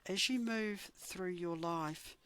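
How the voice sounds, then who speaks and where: Slow, low-pitched woman guiding meditation. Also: clean tone, dry recording. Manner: measured, soothing, gentle instruction with frequent pauses. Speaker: guided meditation instructor. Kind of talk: guided meditation narration.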